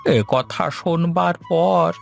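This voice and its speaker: This is a gravelly, slow-talking old servant in a cartoon. He sounds humble and pleading. Soft music plays under his voice. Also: on the high side, heavily acted. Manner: pleading, deferential. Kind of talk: Bengali cartoon voice acting.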